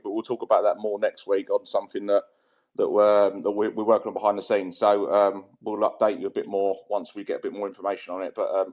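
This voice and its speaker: A man's voice: fairly low pitch, a little mellow. A man hosting a casual online fan chat, talking with many fillers and restarts. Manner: hesitant, many fillers and repeats. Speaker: host. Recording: dull lo-fi sound.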